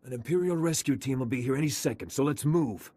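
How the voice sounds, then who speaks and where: Man acting a husky-voiced undercover agent. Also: mid-range voice, fast talker, dark tone, natural voice. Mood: urgent. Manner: wry, colloquial. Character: weary, wry male undercover intelligence agent. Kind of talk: video game voice acting.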